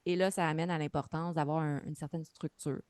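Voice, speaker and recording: Low-voiced woman practitioner. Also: fairly even intonation, a little fast, a little mellow, clean tone, dry recording. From Quebec. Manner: explanatory, many fillers. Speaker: mental health practitioner on a panel. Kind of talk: webinar talk.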